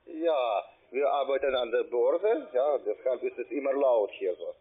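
A man with a foreign accent, not a native speaker, working as a call centre agent and giving halting, insistent instructions. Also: mid-range voice, big pitch swings, slightly rough. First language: not German. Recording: tinny, band-limited phone line.

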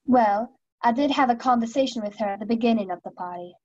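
A woman's voice: on the high side, fast, a little mellow, no echo, muffled recording, close to an everyday voice. A young woman playing a nervous, evasive suspect in an amateur radio play. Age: young adult.

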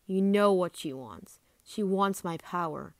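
A woman voicing a bitter, proud male dragon prince with a deliberate delivery. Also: fairly low pitch, darkish tone, dry recording.

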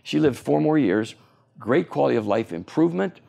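An older man in his sixties speaks with a gravelly, creaky texture. He explains things eagerly, with plenty of fillers and restarts, as a guest in a television studio interview. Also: mid-range voice, lively intonation, a little fast, dark tone. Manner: enthusiastic, explanatory, frequent fillers. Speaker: oral surgeon guest.

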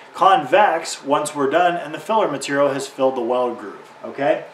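A man in his thirties giving an informal how-to explanation to camera as an instructor, in plain, simple terms. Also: a little high. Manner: explanatory, uses simple analogies. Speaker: welding instructor. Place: garage or workshop beside a tractor. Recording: roomy, distant mic, faint background noise.

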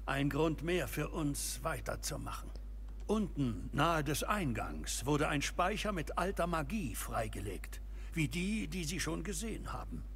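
An actor playing a grave old wizard keeper in a video game, speaking formally and with measured care. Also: male voice, a little high, sing-song, fairly bright tone, slight background noise, exaggerated voice. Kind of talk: scripted video game dialogue.

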